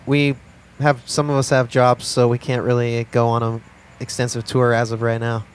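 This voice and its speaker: A man's voice: mid-range voice, completely flat delivery, normal speed, clean tone. A man, a band member talking easily about shows and records. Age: 20s. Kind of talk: casual interview.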